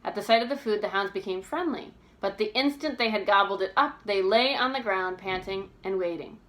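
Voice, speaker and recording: A woman narrates a novel aloud in a medium-pitched voice. Her delivery is expressive and careful, telling the story with a sense of drama. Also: a little fast.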